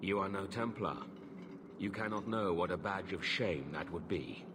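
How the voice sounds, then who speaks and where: An actor voicing a weary, earnest middle-aged knight in a video game, speaking in a formal, measured way. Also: male voice, sits low, fairly even intonation, background noise, close to an everyday voice. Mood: grave, urging. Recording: music behind the voice.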